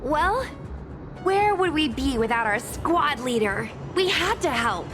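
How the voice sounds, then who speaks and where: A woman voicing a lively, loyal young woman in a video game, with an animated delivery. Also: high voice, slow, exaggerated voice. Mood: playful. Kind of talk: scripted video game voice acting. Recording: voice under prominent music.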